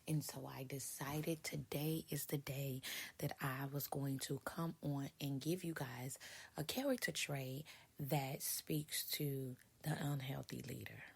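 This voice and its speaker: A woman who writes and speaks on leadership, giving a casual motivational talk to her viewers in a hoarse voice, stressing her points by repeating them. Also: low-pitched. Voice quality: raspy, husky. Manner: emphatic, repeats key points.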